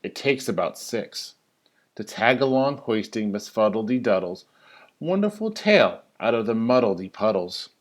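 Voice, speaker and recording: A man who works as a teacher reads a children's story in rhyming verse, with an expressive, sing-song delivery that stresses key words. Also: lowish voice, unhurried. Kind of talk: animated storybook read-aloud of rhyming verse.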